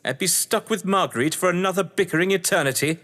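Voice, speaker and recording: An actor playing an urbane, cunning English crime novelist in an audio drama, pompous, condescending and wordy. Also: male voice, high voice, fast talker, a bit bright. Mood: scornful. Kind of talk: theatrical audio drama acting. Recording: echoey hall-like reverb.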